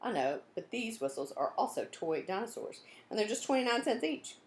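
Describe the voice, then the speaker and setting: A woman's voice: mid-range voice, sing-song, brisk, reverberant, voice pushed up. A woman voicing an earnest, slightly hesitant young schoolboy in a children's storybook, explaining himself. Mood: pleased. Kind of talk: children's storybook character dialogue.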